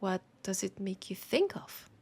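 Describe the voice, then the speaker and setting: A woman with a French accent playing a teasing, curious bookshop keeper in an intimate audio roleplay, speaking softly and musingly and often slipping toward a whisper. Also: medium pitch, sing-song, unhurried, creaky, slight background noise. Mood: playful, curious. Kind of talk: intimate audio roleplay, soft acted monologue.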